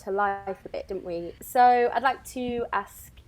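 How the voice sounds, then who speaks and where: A woman's voice: medium pitch, unhurried. A woman hosting an interview with creative colleagues in a conversational, informal style. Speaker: interviewer and theatre company executive director.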